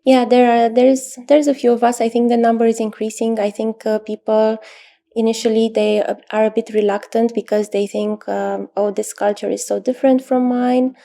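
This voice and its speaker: A woman in her thirties from Romania with a Romanian accent, an astrologer answering interview questions thoughtfully, with pauses and fillers. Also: fairly high pitch, little pitch movement, creaky. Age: 30s. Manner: thoughtful, many pauses and fillers, soft laughs. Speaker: astrologer guest.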